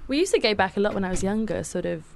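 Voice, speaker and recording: A young woman with an English accent talks casually and easily, full of fillers and little laughs. Her voice sits at a middle pitch. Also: lively intonation, racing, gravelly, a crowd in the background. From England. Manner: chatty, laughing, lots of fillers. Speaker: musician guest. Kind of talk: casual chat.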